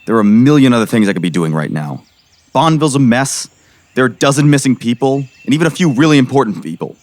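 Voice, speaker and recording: A man acting a gruff, skeptical middle-aged sheriff in an audio drama, exasperated and pressing. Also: mid-range voice, animated, quick pace, darkish tone, dry recording, natural voice. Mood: exasperated, sarcastic. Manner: exasperated, sarcastic, pressing interrogator.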